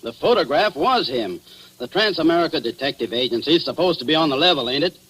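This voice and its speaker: An easygoing American small-town cop with a fairly high male voice. He is folksy and wisecracking, heard through a slightly noisy 1940s radio drama recording. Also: expressive, fast, a bit bright. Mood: defensive.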